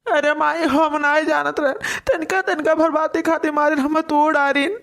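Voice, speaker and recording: A performer plays a bullied village man in an Awadhi comedy cartoon. The voice is pitched up very high, full of plaintive whining and helpless complaint. He sounds like a meek, put-upon victim. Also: little pitch movement, normal speed, gravelly, a bit bright, a faint crowd. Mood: whimpering.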